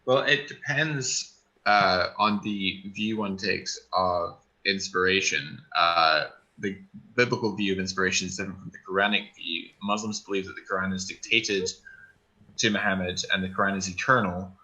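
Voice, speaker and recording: A man debating in a measured, academic manner, choosing his words haltingly. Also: low-pitched, sharp tone, muffled recording. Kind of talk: scholarly debate answer.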